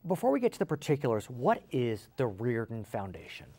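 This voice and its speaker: An American man works as a television interview host. He sounds polished and speaks straight to his guests, moving between scripted introductions and direct questions at a middling pitch. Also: huge pitch swings, a little fast, mellow, breathy edge.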